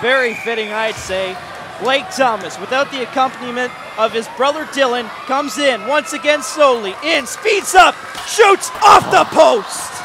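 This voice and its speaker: High-pitched shouting hockey commentator. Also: male voice, a little slow. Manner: builds to shouted goal calls. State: losing his voice. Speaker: play-by-play commentator. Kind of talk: excited sports commentary. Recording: crowd and arena noise behind.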